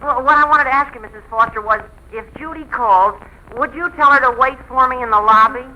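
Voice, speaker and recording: A man's voice: extremely high pitch, a little fast, dull audio. A young man playing an earnest, polite, hesitant teenage boy in a 1940s radio sitcom, with a youthful voice. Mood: sheepish, polite. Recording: noisy vintage 1940s radio recording.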